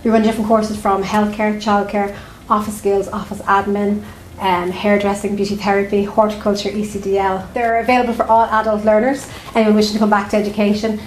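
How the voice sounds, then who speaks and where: Flat-voiced woman coordinator. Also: mid-range voice, brisk. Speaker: education coordinator. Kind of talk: interview. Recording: echoey room, faint noise.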